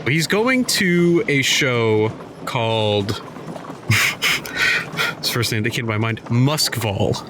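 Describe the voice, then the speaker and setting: A man's voice: mid-range voice, lively intonation, slow, long pauses, background noise. A man playing a tabletop game, rambling through explanations with fillers and laughs. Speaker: player. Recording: music bed under the voices.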